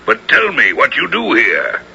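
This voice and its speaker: Bright, forceful, commanding royal duke. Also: male voice, on the high side, wildly swooping pitch, a little slow, vocal fry, heavily acted. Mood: commanding, probing. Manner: lordly, archaic, declamatory speech. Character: commanding royal duke leading troops, shrewd and magnanimous. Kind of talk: 1970s radio drama acting. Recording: noisy, muffled old radio broadcast.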